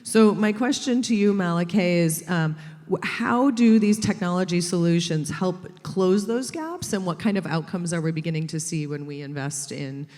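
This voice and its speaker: A woman's voice: fairly low pitch, moderate pace, hardly any pauses, a little mellow, long reverb tail. A woman moderating a conference panel, friendly and loose in her delivery, handing questions to the speakers. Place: conference stage in a large hall.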